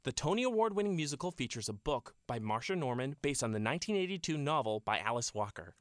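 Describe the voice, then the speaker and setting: A man's voice: medium pitch, sing-song, quick pace, no room sound. Man, eager co-host, stumbly restarts, dry studio sound. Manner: enthusiastic with stammering restarts. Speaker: podcast co-host. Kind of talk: interview hosting.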